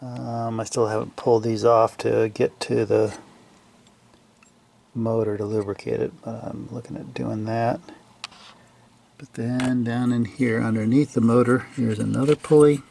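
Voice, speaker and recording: Man, lowish pitch, creaky gravelly voice, casual hands-on repair narration, halting, unscripted, faint background noise. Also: little pitch movement, mellow. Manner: halting, thinking aloud in trailing fragments. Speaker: hobbyist restorer narrating a repair video.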